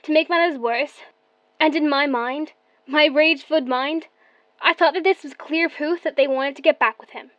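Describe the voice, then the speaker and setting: A woman's voice: high-pitched, moderate pace, dry recording, lo-fi sound, natural voice. An actress playing a young woman caller who bitterly recounts her broken marriage, confiding and resentful. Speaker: caller to a radio show. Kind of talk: audio drama acting.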